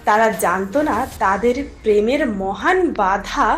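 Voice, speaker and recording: A woman's voice: medium pitch, moderate pace. A woman giving scripted narration of a fairy tale. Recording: music clearly behind.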